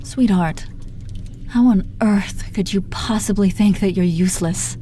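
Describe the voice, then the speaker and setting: A woman's voice: mid-range voice, measured pace, warm tone, close to an everyday voice. A woman playing a teasing, protective female supervillain in her thirties in an intimate roleplay audio drama, foul-mouthed but coaxing, full of pet names. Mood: indignant, fond. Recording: noticeable background noise.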